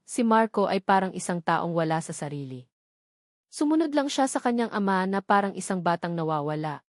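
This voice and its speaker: A woman reading a dramatic love story as narrator, in a solemn, sentimental and deliberate way. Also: medium pitch, darkish tone, no room sound, clean studio sound.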